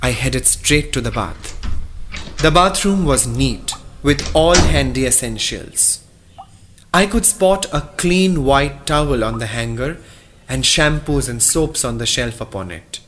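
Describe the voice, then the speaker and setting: A man's voice: medium pitch, expressive, a bit bright, a little hiss or hum. An actor playing a shaken married male traveler who narrates a horror radio play in a theatrical, literary manner. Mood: brooding. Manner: theatrical, literary, deliberate phrasing.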